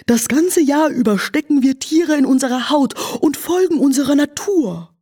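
A woman's voice: high-pitched, animated, moderate pace, dark tone, pitched above the performer's own voice. A woman voicing a wise, playful owl in a children's radio story. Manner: sage, playful.

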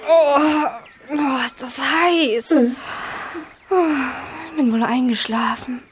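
A woman's voice: high-pitched, slow pace, a little hiss or hum. A woman playing a polite, earnest young girl giant in a children's audio drama. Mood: drowsy, surprised.

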